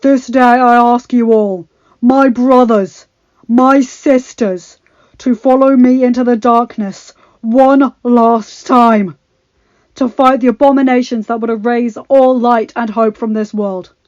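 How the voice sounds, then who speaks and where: A woman voicing a young male warrior king who rallies his army with slow, loud shouts. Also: fairly high pitch, fairly even intonation, no echo, muffled recording, pitched above the performer's own voice. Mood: rousing.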